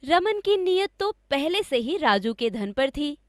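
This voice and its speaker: A woman's voice: sits high, big pitch swings, measured pace, dry recording, studio quality. A woman narrating a scripted story for children as a storyteller.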